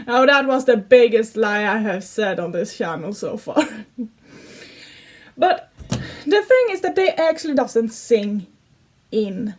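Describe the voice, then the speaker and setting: A giggly young woman with a Swedish accent, talking casually for a reaction video. Her voice sits fairly high and she takes her time, pausing and restarting as her English slips now and then. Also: expressive, slight background noise. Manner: chatty and giggly, slightly broken English grammar. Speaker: YouTuber reacting to songs.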